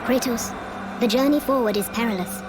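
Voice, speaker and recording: A woman's voice: fairly high pitch, fairly quick, noisy background, natural voice. A woman playing a stern, urgent temple oracle in a video game, speaking in a dramatic, portentous way. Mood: grave, warning.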